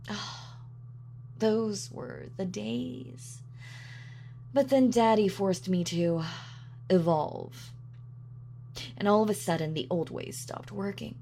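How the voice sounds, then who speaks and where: A woman acts out a sadistic female supervillain in a dramatic roleplay monologue. She gloats and mocks, savoring each word at a slow pace, with a pitch that swings widely. A little noise sits behind the voice. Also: a little mellow, full character voice. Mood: gloating, sadistic. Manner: theatrical, taunting, savoring her words. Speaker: voice actor.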